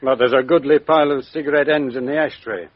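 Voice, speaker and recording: An actor playing a brisk, dry English police doctor with an emphatic, clinical manner in a radio mystery drama. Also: male voice, mid-range voice, steady tone, lightly acted. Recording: dull lo-fi radio drama recording.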